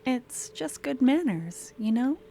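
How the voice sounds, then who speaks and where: A woman playing an eager, clingy young student with a secret obsession in an ASMR roleplay, speaking softly and often slipping into breathy whispers. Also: sits high, very animated, slow, a little hiss or hum, full character voice. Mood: gentle, instructive. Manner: soft-spoken, often dropping to breathy whispers. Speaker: lab guide teaching embalming.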